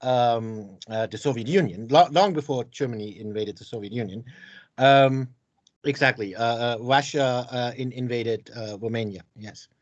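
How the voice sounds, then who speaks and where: Man, casual chat, halting, stammers, dry dull audio. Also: mid-range voice, no echo. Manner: halting, stammering, repeats words. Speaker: conversation partner. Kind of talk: casual video call chat.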